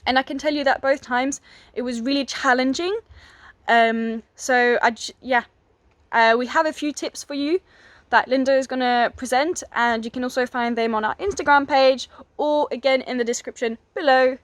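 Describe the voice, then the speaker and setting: High-voiced woman teaching French. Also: fairly even intonation, no room sound. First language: French and English bilingual. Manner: slow, careful modeling of French words. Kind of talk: language lesson.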